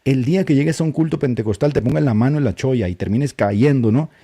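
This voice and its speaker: A man's voice: medium pitch, warm tone. A man co-hosting a live talk show, speaking, colloquial and emphatic. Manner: colloquial, emphatic, talks fast. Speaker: podcast co-host. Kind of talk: casual live discussion.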